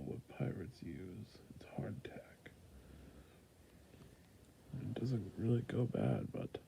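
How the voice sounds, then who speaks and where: A friendly fantasy shopkeeper, played by a man in an ASMR roleplay, murmuring softly and very darkly as he patiently offers his wares. The voice slips between whisper and heavy creak, with an unhurried, gentle sales manner. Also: heavily acted. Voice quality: breathy soft near-whisper with creaky low ends.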